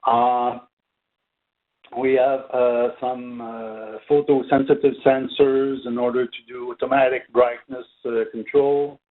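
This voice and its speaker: A man giving an unscripted technical product presentation, speaking haltingly with very frequent filled pauses. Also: medium pitch, little pitch movement. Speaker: product trainer presenting for a company. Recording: narrow, tinny phone-like line.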